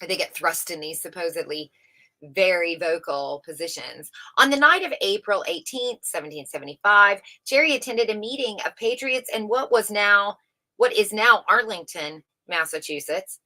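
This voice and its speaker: Bright-voiced woman reading aloud casually. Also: mid-range voice, animated, normal speed. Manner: informal, stumbles over words and self-corrects. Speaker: livestream host reading a book aloud.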